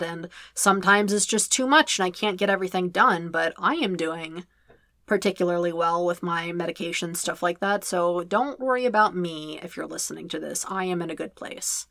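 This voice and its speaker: Chatty woman with a bright edge. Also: lowish voice, dry recording, clean studio sound. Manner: candid, rambling with many fillers. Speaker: podfic reader. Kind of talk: casual spoken afterword.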